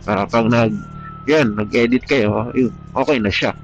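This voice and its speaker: A man's voice: medium pitch, normal speed. A Filipino man with a stuffy, congested voice from a cold, chatting casually and a bit hesitantly as a motovlogger, slipping into English phrases. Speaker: motovlogger reviewing gear. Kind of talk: casual vlog chat. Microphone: helmet Bluetooth intercom. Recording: dull, noisy Bluetooth intercom mic, vehicle sound.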